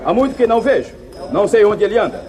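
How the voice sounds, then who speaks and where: An actor playing a likable, loyal wandering singer, polite but firm, in a 1950s radio serial. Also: male voice, high-pitched, animated, quick pace, mellow. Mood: defiant.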